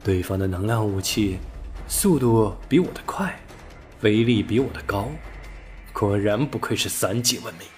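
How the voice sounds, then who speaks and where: A man reading a science fiction novel aloud as an audiobook narrator, steady and storytelling, lifting his voice on key words. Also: lowish voice, moderate pace, faint music behind. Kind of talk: scripted sci-fi novel narration.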